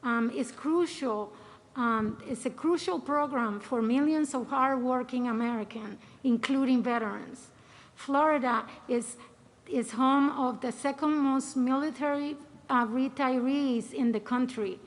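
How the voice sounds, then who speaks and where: A woman's voice: sits high, a little slow. A middle-aged woman, a retired Coast Guard lieutenant, reading a prepared personal statement hesitantly, with many filler sounds. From Dominican Republic.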